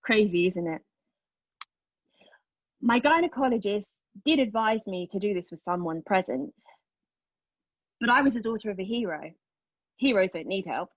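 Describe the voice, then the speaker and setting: A woman acting a wounded, defiant character in a monologue, candid and bitterly sardonic, with halting phrasing. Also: mid-range voice, dry recording, lo-fi sound, close to an everyday voice. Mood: reflective, sardonic. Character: wounded, defiant woman confessing a painful past. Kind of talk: dramatic monologue at a table read.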